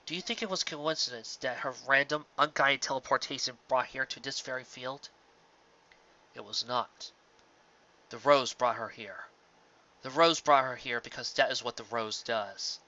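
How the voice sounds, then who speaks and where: A man voicing a gentle, sad pony father in a storybook reading, speaking in a hushed, tender, earnest way. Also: medium pitch, moderate pace, muffled recording.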